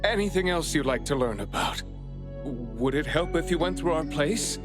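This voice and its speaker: A man's voice: a little high, expressive, moderate pace, lightly acted. An actor playing a worried, polite father of a missing boy in a video game cutscene, earnest and anxious. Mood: polite, pleading. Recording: background music under the voice.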